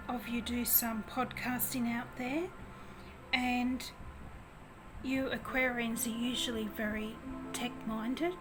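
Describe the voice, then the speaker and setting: A woman with a naturally quiet voice giving a gentle tarot reading, talking softly with pauses. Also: fairly high pitch, rough recording. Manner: gentle, soft, slow and hesitant. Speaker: tarot reader. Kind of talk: soft-spoken tarot reading.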